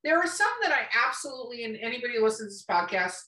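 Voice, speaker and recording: A woman's voice: fairly high pitch, big pitch swings, very fast, pushed and bright. A woman co-hosting a podcast in casual chat, speaking bluntly and emphatically and swearing freely. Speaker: podcast co-host. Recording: roomy echo, distant from mic.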